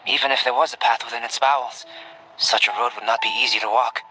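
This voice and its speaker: An actor playing a cool, reserved young alchemist in a video game, speaking in a measured, formal and precise manner. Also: male voice, medium pitch, fairly even intonation, background noise, lightly acted. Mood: cautious. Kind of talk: video game cutscene acting. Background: game music.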